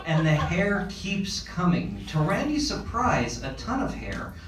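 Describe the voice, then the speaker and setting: A man's voice: sits high, very animated, unhurried. A man narrating stage directions from a script at a live comedy table read. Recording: echoey room, distant mic, noisy.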